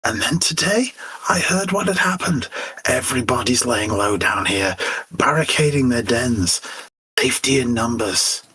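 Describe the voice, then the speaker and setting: A squat, cowardly demon, acted out by a man in an audio story. The voice is very raspy and sharp, with a nervous, hesitant whine. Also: medium pitch, animated, measured pace, full character voice, rough recording. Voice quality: whining, husky. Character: cowardly whining male demon, squat and fat.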